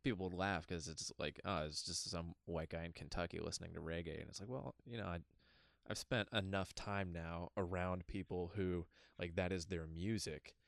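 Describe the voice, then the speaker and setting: Laid-back guy hosting a podcast, low voice, lots of ums, dead dry sound. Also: fairly even intonation, moderate pace, gravelly, no room sound. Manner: easygoing, laughs along, hesitant fillers. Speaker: podcast host. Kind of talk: casual podcast chat.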